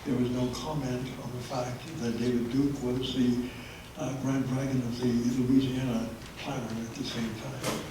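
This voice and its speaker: A man's voice: mid-range voice, flat delivery, a little slow, hardly any pauses, noisy background. A man giving a public history talk to an audience, with many hesitant fillers, recorded through a handheld microphone in a meeting room. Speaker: history presenter. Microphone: handheld microphone, held low at times. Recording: echoey room from far off.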